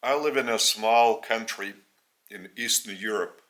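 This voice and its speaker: Slow-speaking Lithuanian man preaching. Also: on the low side, a bit bright. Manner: slow, halting delivery in short phrases. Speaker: spiritual teacher. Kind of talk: spoken religious teaching in English.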